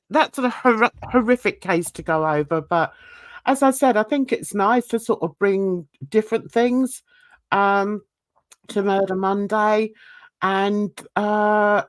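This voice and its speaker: A woman's voice: medium pitch, dry recording. A woman hosting a casual livestream, rambling with many fillers, a bit under the weather with a cold. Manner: chatty, rambling, frequent fillers. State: coughing and sneezing. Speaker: livestream host.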